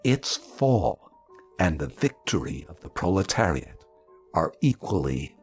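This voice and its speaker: A man's voice: sits low, big pitch swings, a little slow, slightly rough, soft background music. A man reading a political text aloud dramatically, in an emphatic, declamatory, oratorical way. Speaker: radio presenter reading aloud.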